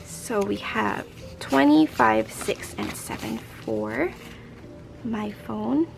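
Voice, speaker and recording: A young woman with a raspy, hoarse voice, chatting casually as a budgeting vlogger and counting out cash into envelopes. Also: a little high, drawn-out words, over music. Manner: chatty, rambling, counts money aloud. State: hoarse from a late night. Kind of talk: casual chat while stuffing cash envelopes.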